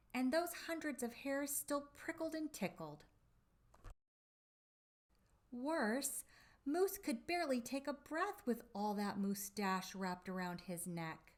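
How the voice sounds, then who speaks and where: A woman reading a children's picture book aloud expressively, with theatrical drawn-out emphasis and dramatic pauses. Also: medium pitch. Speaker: storybook reader for children.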